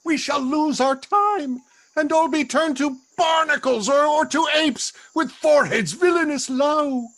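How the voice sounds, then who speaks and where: An actor playing a servile, scheming, fretful island monster in a Shakespearean radio play, with a raspy, creaky voice. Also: male voice, extremely high pitch, a little slow, a bit bright, heavily acted. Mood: impatient, fretful.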